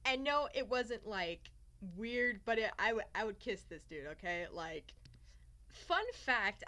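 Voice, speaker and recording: A young woman with a high, very bright voice chats casually through a personal story. She is theatrical and sarcastic, poking fun at herself as she goes. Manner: theatrical, sarcastic, self-mocking. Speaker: vlogger telling a personal story. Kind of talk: casual storytime chat to camera.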